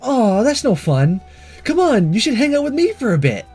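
Casting: male player voicing a peppy anime schoolgirl, teasing and sarcastic, lively high delivery, music underneath. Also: normal speed, breathy edge, exaggerated voice. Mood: playful teasing. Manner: playful, sarcastic, emphatic. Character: bubbly, teasing high-school girl in anime visual novel.

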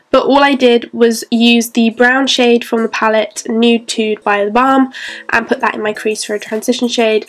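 A young woman chatting through a makeup tutorial, sounding a bit stuffy from a cold. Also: a little high. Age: young adult. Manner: chatty, bubbly, lots of "so yeah". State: congested from a cold. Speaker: beauty vlogger. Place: bedroom with clothes rail.

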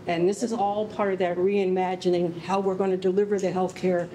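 Mellow-voiced woman addressing a room. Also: fairly low pitch, level tone, fairly quick, low-quality recording. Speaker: health advocate addressing audience. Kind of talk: informal public remarks. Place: large bare concrete rehearsal hall. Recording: faint background room noise.

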